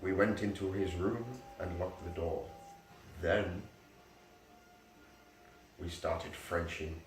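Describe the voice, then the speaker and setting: A man reads amateur fan fiction aloud in a slow, mock-serious delivery that can tip into giggles. His voice sits fairly low, and it is picked up from a distance in an echoey room. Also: steady tone, faint music behind. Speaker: reader.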